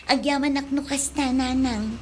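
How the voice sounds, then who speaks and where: An actress playing a respectful young daughter in a comedy radio drama, with an Ilocano accent. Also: female voice, high-pitched, fairly even intonation, normal speed, background noise, lightly acted. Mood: grateful. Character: respectful young daughter hoping to marry her sweetheart. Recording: loud music behind the voice.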